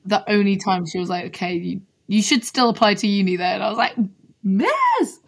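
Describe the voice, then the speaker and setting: Husky-voiced young woman, chatty and casual. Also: mid-range voice, big pitch swings, normal speed. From London. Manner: chatty, peppered with "like". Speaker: singer-songwriter guest. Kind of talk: casual interview chat.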